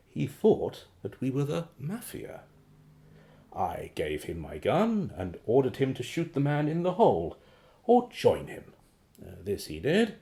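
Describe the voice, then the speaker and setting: A glib male secret agent with a mellow, dark-edged voice that swings wildly up and down. He reports to his boss with polite, slippery evasions, theatrically animated in radio drama style. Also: medium pitch, slow, exaggerated voice. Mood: breezy, confiding.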